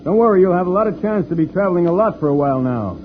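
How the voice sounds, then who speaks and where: An actor playing a calm, seasoned, fatherly spaceship commander who explains things reassuringly in an old-time radio serial. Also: high voice, very fast. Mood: reassuring, instructive. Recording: tinny, noisy old radio recording.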